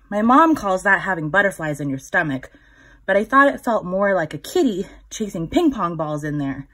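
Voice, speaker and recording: A woman's voice: fairly low pitch, fairly bright tone, breathy edge. Woman reading a picture book to children. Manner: instructive, pausing to prompt listeners with questions. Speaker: children's story time reader.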